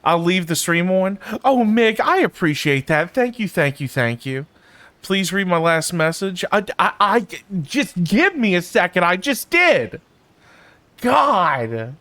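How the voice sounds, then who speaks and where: A man livestreaming a game and chatting casually with his viewers in an informal, halting, repetitive way. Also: high voice, big pitch swings, bright, forceful tone. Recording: faint game music behind. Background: faint game music.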